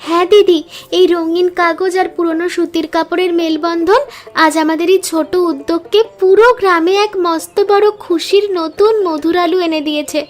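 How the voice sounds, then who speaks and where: A woman puts on a little village girl for a cartoon story. The voice is very high, excitable and full of dreamy delight, over music. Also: normal speed.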